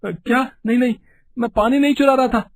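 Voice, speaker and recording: A woman voicing an earnest, anxious village man in an animated storybook tale. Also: fairly high pitch, a little fast. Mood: pleading, frightened. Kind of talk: animated storybook character acting.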